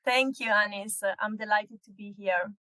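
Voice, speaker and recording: A woman, a podcast guest explaining her work in a measured way. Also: mid-range voice, fairly even intonation, bright, forceful tone, no echo. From northern Italy. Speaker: guest, executive search consultant. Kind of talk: casual interview chat.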